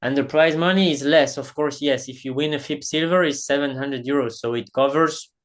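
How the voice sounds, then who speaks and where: A man's voice: fairly high pitch, a little fast. A young adult man from Belgium, a padel player answering interview questions casually with non-native phrasing and frequent restarts. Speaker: professional padel player, guest.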